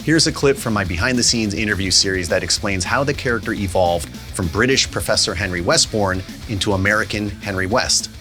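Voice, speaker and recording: A man's voice: lowish voice, brisk, few pauses, pushed and bright, soft background music. A man hosting a behind-the-scenes series, explaining his production work in a casual, conversational way. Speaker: audio drama director and series host.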